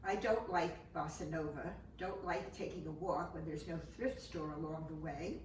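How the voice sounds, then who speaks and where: A woman in her seventies reading her poetry informally, with conversational, matter-of-fact delivery and halting asides. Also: low voice, darkish tone. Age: 70s. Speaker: poet and author. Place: room, remote home-style recording. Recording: echoey, noisy room, distant microphone.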